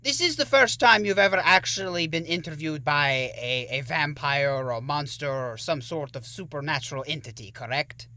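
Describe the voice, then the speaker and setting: A man's voice: a little high, moderate pace, hardly any pauses, bright, forceful tone. A man voicing a campy vampire count who hosts a horror show and interviews in a grandiose, formal manner. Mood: curious, polite. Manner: theatrical, grandiose, formal wording. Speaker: interviewer and host. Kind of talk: theatrical horror-host introduction and interview.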